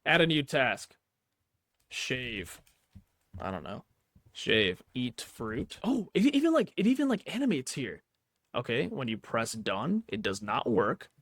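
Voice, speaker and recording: A man's voice: medium pitch, wildly swooping pitch, measured pace, a bit bright, no echo. A man giving casual, unscripted tech commentary over a screen recording, slangy and reacting aloud as he goes. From Pakistani background. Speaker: tech YouTuber, host.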